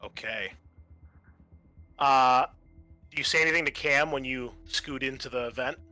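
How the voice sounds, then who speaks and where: A man's voice: medium pitch, measured pace, sharp tone, faint music behind. A man narrating a tabletop roleplaying game as its game master, addressing the players.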